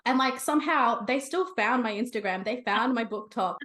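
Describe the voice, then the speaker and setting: A woman's voice: a little high, normal speed, fairly bright tone. A young woman in her twenties, an author being interviewed, talking in a bubbly, rambling way with many "like" fillers and light laughs. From half Romanian, half Sri Lankan heritage. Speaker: author guest. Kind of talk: casual interview chat.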